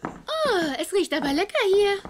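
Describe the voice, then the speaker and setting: A perky, very high-voiced young woman. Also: sing-song, normal speed. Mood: curious. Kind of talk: dubbed sitcom acting. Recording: noisy TV sitcom soundtrack.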